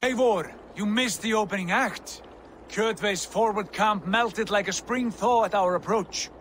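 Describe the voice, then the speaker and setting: An actor playing a confident, commanding Viking jarl in a game drama, with a lordly, declamatory way of talking. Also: male voice, sits high, measured pace, fairly bright tone, slight background noise. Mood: hearty. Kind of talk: cinematic video game drama.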